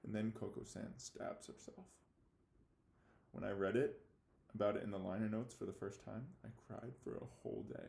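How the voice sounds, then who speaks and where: A man speaking as a fifth-grade teacher giving a read-aloud lesson, halting and theatrically mock-serious in his delivery. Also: lowish voice, fairly even intonation, vocal fry, darkish tone. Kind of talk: read-aloud lesson for children, reading a novel with commentary. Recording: echoey room.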